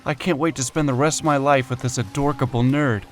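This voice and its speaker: A man's voice: mid-range voice, steady tone, a little fast, slight background noise, close to an everyday voice. A man playing an affectionate, teasing man in his early twenties in an audio drama, with an easygoing manner. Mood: fond, teasing. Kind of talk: audio drama acting of fan fiction.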